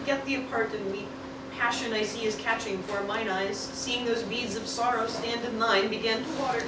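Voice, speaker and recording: A roomy, distant, noisy recording of an amateur radio play. A woman plays a grieving, vengeful wife with a highish voice, declaiming mock-Shakespearean lines with theatrical weight. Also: fairly even intonation, moderate pace, close to an everyday voice. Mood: mournful, commanding.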